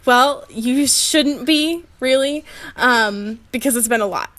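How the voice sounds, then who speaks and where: A young woman with a very high-pitched, bright and forward voice. She co-hosts a casual comedy podcast, talking informally and reading aloud. Her speech easily tips into giggles. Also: slow pace. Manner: giggly, breaks into laughter while talking. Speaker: podcast co-host.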